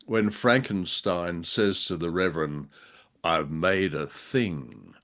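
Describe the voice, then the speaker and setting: A man's voice: deep voice, slow pace, fairly bright tone. A man co-hosting a podcast in casual conversation, with a curious, chatty, questioning manner. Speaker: podcast co-host.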